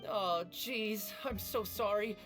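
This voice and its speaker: An actress playing a flustered, friendly young newspaper seller in a video game, speaking apologetically. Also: female voice, mid-range voice, slow pace, over music, natural voice. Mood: apologetic.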